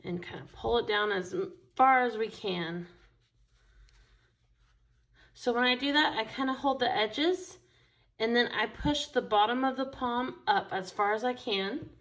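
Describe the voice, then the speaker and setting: Chatty woman with a gravelly edge. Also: on the high side, unhurried, a bit bright. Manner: chatty and enthusiastic, talks while working with her hands. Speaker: craft store instructor. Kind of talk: casual craft tutorial. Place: indoor craft table. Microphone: overhead camera, only her hands seen.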